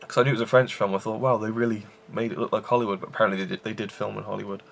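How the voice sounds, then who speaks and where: Low gravelly man reviewing a film. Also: flat delivery, racing, a little hiss or hum. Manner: rambling, enthusiastic, many fillers and restarts. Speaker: film reviewer, show host. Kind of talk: casual film review chat.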